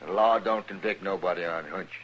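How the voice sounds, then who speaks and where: A man's voice: low-pitched, fairly quick, fairly bright tone. A man playing a cornered cattle rustler in a western radio drama, evasive and folksy in his speech. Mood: defiant. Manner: folksy drawling grammar, evasive. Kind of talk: old-time radio western drama acting. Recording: dull, lightly noisy old radio recording.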